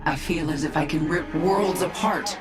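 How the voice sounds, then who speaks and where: A woman's voice: low voice, moderate pace, loud music behind the voice, lightly acted. An actress playing a powerful reborn alien swarm queen, cool and commanding. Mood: confident. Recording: roomy echo.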